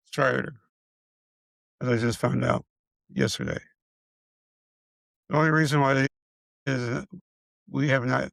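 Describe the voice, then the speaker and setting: A middle-aged man speaks in a raspy, deeply gravelly voice, close and dry with no room sound. His speech halts, with stutters and repeated syllables as he runs short of breath. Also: fairly bright tone, no echo, poor audio. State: short of breath, struggling to get words out. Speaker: video creator sharing his illness progress. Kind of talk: casual personal health update.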